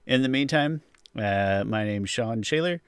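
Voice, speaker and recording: A man's voice: medium pitch, expressive, unhurried, clear, resonant tone, no room sound, clean studio sound. A man hosting a podcast, chatting casually through a review in a rambling, hedging way. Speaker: podcast host.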